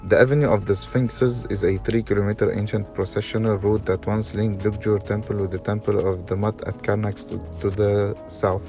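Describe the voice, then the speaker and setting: A man reading scripted documentary narration in a halting way, with stumbles, on a dull lo-fi recording. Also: lowish voice, steady tone, darkish tone, faint music behind. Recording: dull, lo-fi sound.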